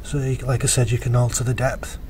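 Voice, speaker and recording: A man, casually explaining his fishing rig in a relaxed, plain-spoken vlog, recorded outdoors by a lake on a camera mic. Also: medium pitch, fairly quick, a little hiss or hum. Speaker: angler showing a fishing rig.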